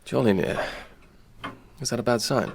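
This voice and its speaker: Young English gentleman, upper-class, curt, troubled, lively pitch swings, period radio drama. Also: normal speed, vocal fry, slight background noise. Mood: hesitant, troubled.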